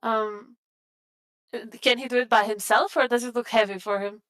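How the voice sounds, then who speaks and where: A woman takes part in a tabletop roleplay as a player. Her voice is fairly high and very clear, and she casually narrates what her character does. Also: fairly even intonation, moderate pace, fairly bright tone. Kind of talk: casual roleplay, describing actions in first person.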